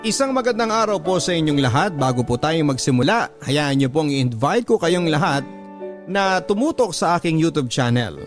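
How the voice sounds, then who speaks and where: Higher-voiced man reading letters aloud. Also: lively intonation, moderate pace. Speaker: radio story host reading listener letters. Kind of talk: scripted narration of letters. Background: faint background music.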